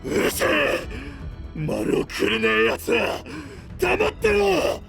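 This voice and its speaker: An actor playing a possessed young would-be knight, rough and brusque, desperate for approval, in an anime-style audio drama. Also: male voice, extremely high pitch, wildly swooping pitch, slow, a bit bright, faint music behind, heavily acted. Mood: desperate, enraged. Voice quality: gravelly. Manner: rough, brusque masculine speech.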